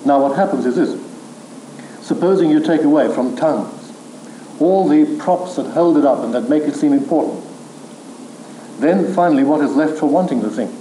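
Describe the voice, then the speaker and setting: A man lectures in a mellow, dark voice, speaking in a measured, firm way. The sound is echoey with a faint hiss behind him, as in a hall recording. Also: a little high. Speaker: theology lecturer. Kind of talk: lecture with questions from the floor.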